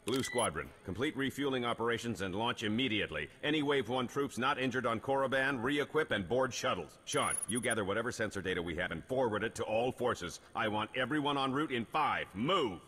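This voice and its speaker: An actor playing a stern, driven military colonel in a video game, speaking in a clipped, formal, commanding way. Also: male voice, medium pitch, animated, brisk, hardly any pauses, a bit bright, slightly rough. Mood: urgent, commanding. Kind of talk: video game cutscene acting. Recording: long hall reverb.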